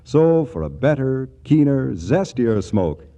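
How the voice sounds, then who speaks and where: A man reading a 1940s radio commercial in a smooth, salesy manner. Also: medium pitch, big pitch swings, slow, warm tone. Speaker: commercial announcer. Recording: dull 1940s radio broadcast.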